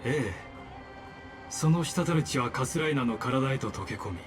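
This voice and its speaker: Male scholar antagonist for an anime-style game, acted by a man: measured, courteous, cryptic oratory over prominent music. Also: medium pitch, expressive, natural voice. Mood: solemn. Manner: formal, polite, measured oratory. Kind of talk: Japanese video game dub acting.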